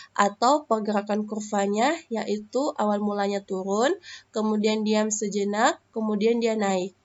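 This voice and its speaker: A young woman from Indonesia, a math student, explains a lesson step by step in a screen recording, pausing often with hesitations. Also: mid-range voice, a little mellow, dull audio.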